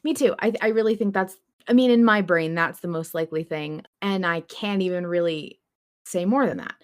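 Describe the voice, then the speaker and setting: A woman's voice: lowish voice, moderate pace. A woman hosting a podcast in a casual, conversational fan discussion. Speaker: podcast host.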